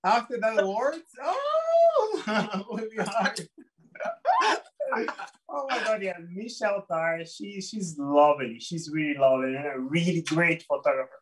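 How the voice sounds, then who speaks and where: A man from Brazil with a Brazilian Portuguese accent, a guest telling his life story in an interview, halting and searching for words in English. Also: high-pitched, sing-song, recorded from a distance.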